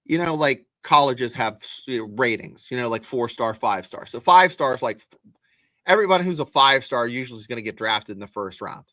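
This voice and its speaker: A man's voice: mid-range voice, lively intonation, a little fast, sharp tone, dry recording. Folksy man co-hosting a sports podcast. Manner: folksy, explanatory. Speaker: podcast co-host. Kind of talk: casual sports analysis.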